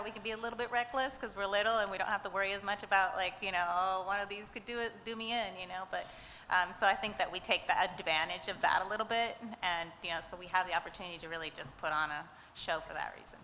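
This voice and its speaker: A woman's voice: medium pitch, steady tone, quick pace, sharp tone. A woman fighter giving thoughtful, rambling answers at a press conference. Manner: thoughtful, rambling, many fillers. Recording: roomy hall, faint noise.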